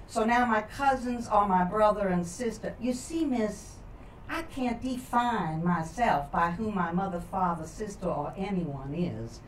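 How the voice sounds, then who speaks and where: A woman playing a polite, matter-of-fact teenage boy, earnest and halting, as in a story reading. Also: medium pitch, expressive, lightly acted. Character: polite, matter-of-fact teenage schoolboy explaining his tangled family. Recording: roomy echo, distant mic, background noise.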